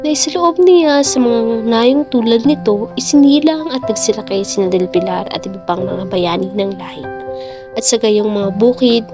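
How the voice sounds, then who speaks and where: A woman narrating a literary radio drama in an expressive storytelling manner. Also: on the high side, normal speed. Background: background music.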